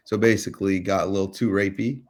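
An American man co-hosting a podcast, debating casually in a wry, halting way with some swearing. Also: sits low, steady tone. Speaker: podcast co-host.

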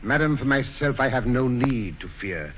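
An actor playing a shrewd, self-possessed courtier in a 1940s radio play, with a measured, smooth-tongued and theatrical delivery. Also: male voice, medium pitch, lively intonation, natural voice. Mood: calm, firm. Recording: muffled 1940s radio recording with hiss.

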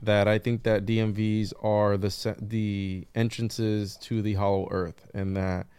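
A man at a mid pitch hosts a podcast, trading jokey conspiracy theories and casual swearing. His voice is smooth and clear, recorded close and dry. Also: flat delivery, a little slow, a little mellow, no room sound. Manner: joking, conspiratorial banter with swearing. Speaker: podcast host.

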